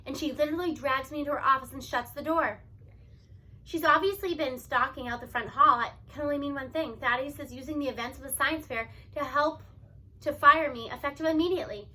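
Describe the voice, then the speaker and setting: A woman narrates a story aloud in the first person. Her voice sits high and has a bright, forward edge. She reads expressively, stressing key words in an animated storytelling manner. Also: fairly quick.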